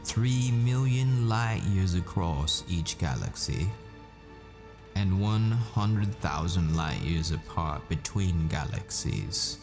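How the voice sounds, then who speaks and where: A man playing a deep-voiced, unhurried professor. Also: lively intonation, music in the background, exaggerated voice. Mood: absorbed, teaching. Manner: didactic, rhetorical questions, deliberate pauses. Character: male math professor lecturing on the cosmos, patient and absorbed. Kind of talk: scripted roleplay, classroom lecture.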